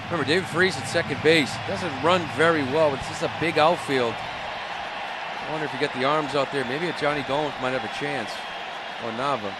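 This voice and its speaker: A man's voice: a little high, normal speed. A man giving informal, explanatory analysis during a live baseball radio broadcast. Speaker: color analyst. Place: stadium broadcast booth. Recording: faint stadium noise behind a broadcast.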